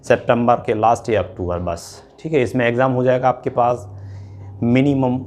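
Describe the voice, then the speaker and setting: A man with a medium-pitched voice speaks as an exam coach. He explains things informally and directly to students, often pausing on short check-in words as he goes. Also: fairly even intonation, a little mellow, slight background noise. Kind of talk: informal instructional talk to students.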